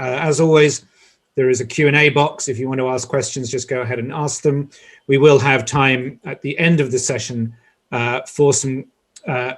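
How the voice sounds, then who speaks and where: A man moderating a conference session over a video call. He speaks hesitantly, rambles, and restarts often. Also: mid-range voice.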